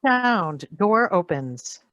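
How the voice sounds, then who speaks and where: A woman's voice: medium pitch, sing-song, extremely slow, a bit bright, no echo, lo-fi sound. A woman reading out script sound cues in short, clipped phrases. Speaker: sound-effects reader. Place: remote video call performance.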